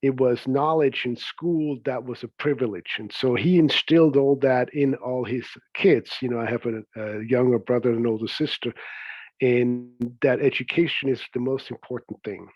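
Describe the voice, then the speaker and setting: A man's voice: medium pitch, normal speed, no echo. Middle-aged guy from switzerland, chatty, muffled dry sound. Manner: chatty, laughs easily, many "you know"s. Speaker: guest, coach. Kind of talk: relaxed podcast interview.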